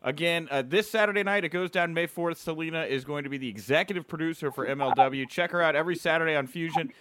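A man's voice: on the high side, fast, a bit bright, no echo. A man hosting a casual wrestling interview, friendly and upbeat.

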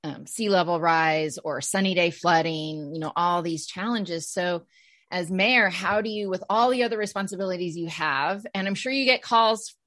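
A middle-aged woman interviewing a guest, speaking in a relaxed way with fillers and long questions. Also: lowish voice, normal speed, no room sound, studio quality. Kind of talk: conversational interview.

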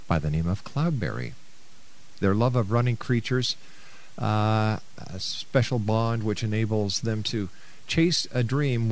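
A man's voice: on the low side, rough recording. A man hosting a radio interview, speaking as a presenter to his guest and listeners. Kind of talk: radio interview, partly reading a book synopsis.

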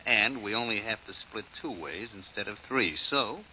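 An actor in a 1940s radio drama playing a cold, scheming blackmailer turned killer, glib and callous. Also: male voice, fairly low pitch, expressive, normal speed, pushed and bright. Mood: smug, callous. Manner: glib, callous, offhand about murder. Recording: muffled old radio recording with hiss.

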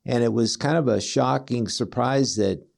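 A man's voice: medium pitch, moderate pace, creaky, a little mellow. A man hosting a health interview as a physician, talking conversationally and often repeating words as he rephrases. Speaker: host, physician.

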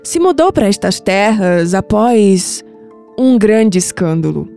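An actress playing a wise, mystical old Brazilian grandmother in an audio drama, solemn, affectionate and measured. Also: mid-range voice, big pitch swings, vocal fry, dark tone, exaggerated voice. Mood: solemn, grave. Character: tender, wise, mystical old grandmother revealing family secrets. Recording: music bed under the voice.

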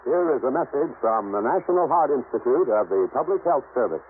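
A man's voice: mid-range voice, big pitch swings, fairly quick. An American man making a formal scripted announcement in 1950s radio style. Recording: tinny 1950s radio recording, faint noise.

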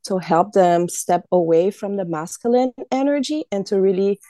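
A woman, a guest herbalist introducing herself in an interview with steady, earnest explanations. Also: medium pitch, moderate pace, dry recording, clean studio sound. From Haiti.

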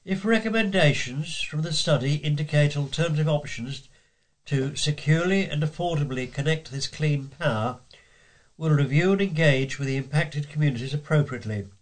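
A man's voice: on the high side, normal speed, poor audio. A man reading scripted local news as a volunteer newsreader.

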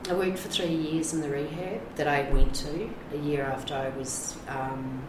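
Middle-aged New woman, very deep voice, frank and rambling, echoey room with noise. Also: fairly even intonation, moderate pace. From New Zealand. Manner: frank, rambling, many fillers and restarts. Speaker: addiction recovery navigator. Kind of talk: candid interview talk.

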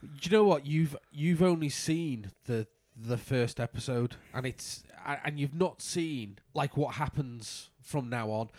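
A man's voice: mid-range voice, measured pace, hardly any pauses, darkish tone, no room sound. A man with a nasal voice from polyps, co-hosting a casual podcast chat in a laid-back, easygoing way. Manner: laid-back, chatty, laughs easily. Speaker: podcast co-host.

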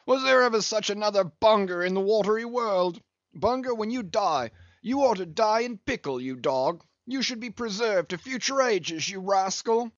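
Hearty English whaling captain, storybook, raised pitch, very animated, boisterous, jolly, teasing. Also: male voice, moderate pace, no room sound, highs cut off. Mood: roguish delight.

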